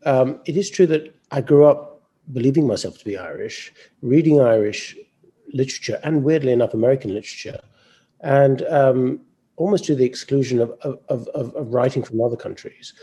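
A man who is a novelist speaking as a panel guest, halting and reflective, with stammered repeats. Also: mid-range voice, dark tone, slightly rough. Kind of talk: panel discussion.

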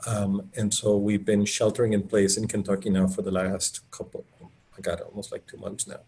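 A man's voice: on the low side, little pitch movement, normal speed, darkish tone. A middle-aged man from Colombia, an author speaking as a guest over a video call, enthusiastic and rambling with many fillers. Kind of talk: casual conversational talk.

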